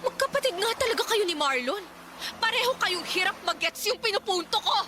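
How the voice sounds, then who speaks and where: A woman acting a combative, bitter aunt in a radio drama, loud, sarcastic and accusing. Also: very high-pitched, lively intonation, a little fast, background noise. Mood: angry, sarcastic. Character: combative, bitter, proud aunt in a family quarrel.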